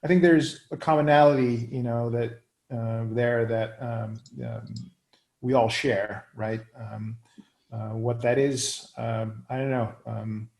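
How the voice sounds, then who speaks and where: Gravelly, hesitant man searching for words. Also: medium pitch, fairly even intonation, takes it slowly, darkish tone. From Netherlands. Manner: many fillers and restarts, searching for words. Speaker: interviewee. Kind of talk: hesitant conversational answers.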